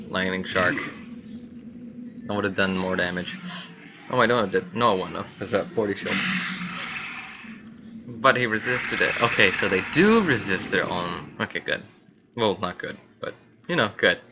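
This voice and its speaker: A man's voice: deep voice, unhurried, pauses often, background noise. A man giving casual, playful gameplay commentary, thinking aloud in short bursts and exclamations. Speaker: gamer recording gameplay.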